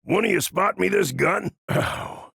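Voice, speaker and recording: Grizzled old American cyborg gunslinger for a game: very gravelly, sharp-edged, wry and folksy. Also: male voice, sits high, expressive, a little slow, no echo, clean studio sound, full character voice. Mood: hurt, wry. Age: older. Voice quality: gravelly, husky. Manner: folksy drawling slang, wry and grumbling. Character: gruff, grizzled old part-machine gunfighter, wry and world-weary. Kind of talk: video game character voice lines.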